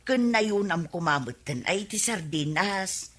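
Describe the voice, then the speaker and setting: An actress playing an aggrieved village wife with a Filipino accent, plaintive and exclamatory, in a radio comedy drama. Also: female voice, medium pitch, animated, measured pace, fairly bright tone. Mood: complaining, pleading.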